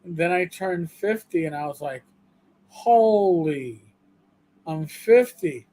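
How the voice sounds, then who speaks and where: Slow-talking man in his fifties hosting. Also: high voice, expressive, mellow. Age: 50s. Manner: rambling and self-deprecating, with halting restarts. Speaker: livestream co-host. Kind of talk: casual chat.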